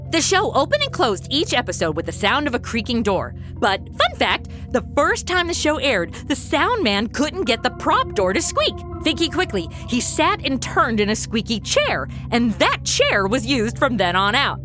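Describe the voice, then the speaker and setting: A woman's voice: high voice, very animated, normal speed, a bit bright, soft background music. A woman podcast host reading scripted narration and appeals to listeners in a perky, chatty way.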